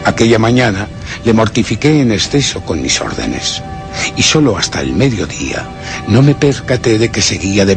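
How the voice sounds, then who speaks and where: An actor playing a tormented, guilt-ridden gentleman narrating his descent into madness in a horror radio drama, with a brooding literary delivery and a Castilian accent. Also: deep voice. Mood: uneasy, reflective. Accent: Castilian Spanish. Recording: music bed behind.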